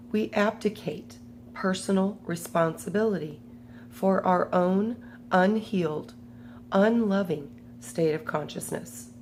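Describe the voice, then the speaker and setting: Slow low-voiced woman with a cold. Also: a little mellow, slight background noise. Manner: slow, halting, with fillers. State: has a cold, voice strained. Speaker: spiritual book reader. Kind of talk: reading a book aloud with personal commentary.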